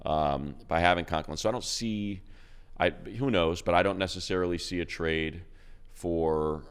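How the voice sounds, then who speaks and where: Very deep-voiced man riffing on football. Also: normal speed, clean tone. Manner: rambling, many restarts and repeated words. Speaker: radio play-by-play announcer and podcast co-host. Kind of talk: casual sports chat.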